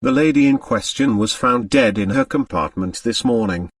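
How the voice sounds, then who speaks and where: A man's voice: mid-range voice, normal speed, dry recording, studio quality, distant mic, natural voice. A man voicing a pompous, self-important French police commissary, formal and officiously courteous, in an audiobook. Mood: grave, insistent.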